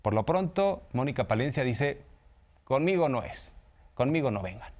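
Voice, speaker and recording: A man's voice: medium pitch, lively intonation, moderate pace, a little mellow. A Mexican man presenting news commentary as a host, in a conversational style.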